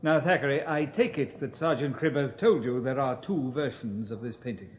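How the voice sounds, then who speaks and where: An actor playing a pompous, snobbish Victorian police inspector in a radio drama, with an upper-class English accent and formal, condescending delivery. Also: male voice, medium pitch, normal speed, slight background noise, lightly acted. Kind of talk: 1980s British radio drama acting.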